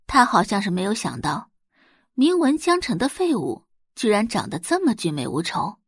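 Expressive woman audiobook narrator, lively pitch. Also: moderate pace, breathy edge, dry recording, clean studio sound. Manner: expressive storytelling. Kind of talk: dramatic scripted narration.

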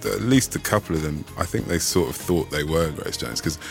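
A very low-voiced man, guest on a casual interview, thinking aloud as he talks. He grew up in Austria and reaches back for his memories with halting, restarting phrases. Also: gravelly, soft background music. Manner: reflective, halting, many restarts.

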